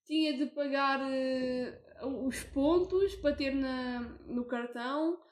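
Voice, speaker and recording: A woman's voice: high voice, takes it slowly, roomy sound. A young woman with a European Portuguese accent, co-hosting a casual podcast chat, hesitant and full of fillers and restarts. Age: young adult. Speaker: podcast co-host.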